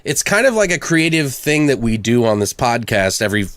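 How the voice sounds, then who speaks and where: A man's voice: medium pitch, sing-song, fairly quick, no room sound. A man hosting a podcast in casual banter, informal, joking and freely swearing. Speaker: podcast host.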